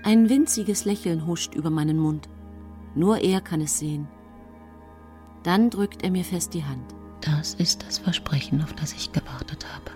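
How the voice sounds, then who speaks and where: An actress in a German radio play voicing a coldly scheming, pious-sounding mother, narrating her own story in a measured, confessional murmur. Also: deep voice, darkish tone, soft background music. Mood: quietly calculating.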